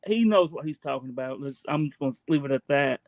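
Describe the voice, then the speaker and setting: A man's voice: mid-range voice, expressive, fast, darkish tone, dry recording. A man chatting casually as a live stream co-host, informal, agreeable and emphatic. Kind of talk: casual chat.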